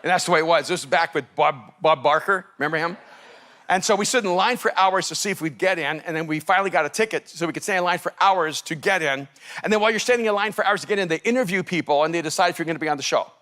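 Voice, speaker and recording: A man from El Salvador, a native Spanish speaker, preaching as a pastor and telling a story in an animated, laughing manner. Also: fairly high pitch, quick pace. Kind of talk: storytelling sermon.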